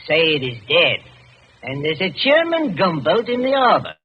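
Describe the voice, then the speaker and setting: A man's voice: on the high side, wildly swooping pitch, moderate pace, a little hiss or hum. A man playing a smug, menacing German secret agent in an old-time radio drama, taunting as he talks. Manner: taunting, self-satisfied. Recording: muffled vintage radio recording, music behind.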